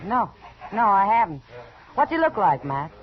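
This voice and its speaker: A woman's voice: mid-range voice, sing-song, moderate pace, lightly acted. An actress playing a friendly, familiar saloon woman in a 1950s radio Western, speaking in an easygoing, conversational way. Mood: friendly, curious. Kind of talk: 1950s radio drama acting. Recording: dull old radio recording.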